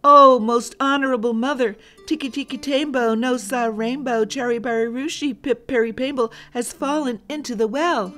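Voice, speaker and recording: A woman's voice: a little high, steady tone, moderate pace, hardly any pauses, fairly bright tone, soft background music, voice pushed up, lightly acted. A woman voicing a small, breathless boy from a children's storybook, crying out urgently and respectfully. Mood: alarmed.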